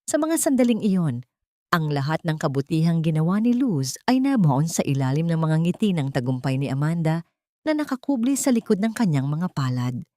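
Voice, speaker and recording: Woman narrator, slightly low pitch, dramatic scripted storytelling, dry recording. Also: very animated, moderate pace, warm tone, no room sound.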